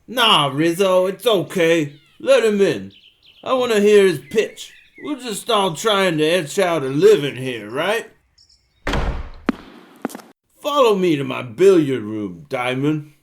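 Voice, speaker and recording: A man's voice: fairly high pitch, big pitch swings, slow, slight background noise, heavily acted. A man playing a 1920s gangster boss, genial but menacing, talking in slangy wiseguy patter in a noir radio drama. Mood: breezy, hospitable.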